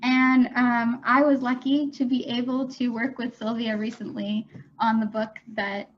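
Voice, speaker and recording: A woman hosting a panel, introducing the next guest slowly and with many fillers. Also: on the high side, level tone, lo-fi sound. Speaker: panel host.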